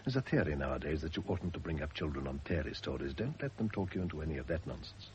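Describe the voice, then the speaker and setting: An actor in a radio drama playing a gentle, reflective condemned husband who faces death calmly and speaks tenderly. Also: male voice, very deep voice, fast, slight background noise. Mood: wistful, tender. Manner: literary, reflective, tender, with whispered drops. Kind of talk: classic radio drama acting.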